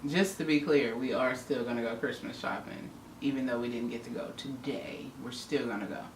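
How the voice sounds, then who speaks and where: A woman from Indianapolis with a soft Southern lilt talks openly to camera, musing and trailing off. The sound is roomy and distant, with a faint hiss in the background. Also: extremely low pitch, brisk. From Indianapolis, Indiana, US Midwest. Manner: candid and reflective, trails off with "I don't know". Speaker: vlogger. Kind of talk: casual couple's chat to camera. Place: home room.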